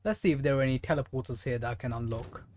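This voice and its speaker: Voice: medium pitch, racing, darkish tone. A game streamer giving casual gameplay commentary in a rambling, thinking-aloud way. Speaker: game livestreamer.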